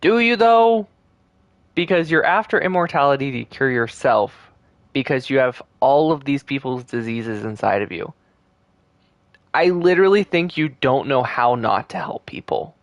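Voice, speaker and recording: A man giving casual gaming commentary, musing as if thinking out loud. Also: medium pitch, expressive, moderate pace, no room sound. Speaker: gaming streamer. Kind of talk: casual commentary over a game. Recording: game music behind the voice.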